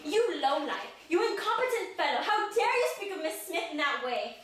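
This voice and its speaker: Girl putting on a proper english young lady, crisp and sharp, lively high voice, echoey room. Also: normal speed. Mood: outraged. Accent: put-on English. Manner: crisp, formal period speech. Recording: echoey room, mic far off, audible noise.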